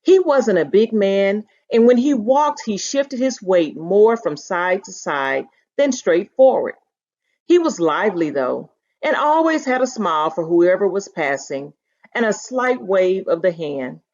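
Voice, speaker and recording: Animated woman teacher reading aloud. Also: mid-range voice, measured pace. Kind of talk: expressive story read-aloud with think-aloud commentary. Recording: dull, lo-fi audio.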